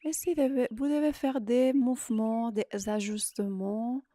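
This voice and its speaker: Slow, mellow woman guiding meditation. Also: fairly high pitch, steady tone, no room sound, studio quality. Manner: unhurried, short phrases with long pauses. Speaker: yoga nidra teacher. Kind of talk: guided meditation, slow soothing instructions.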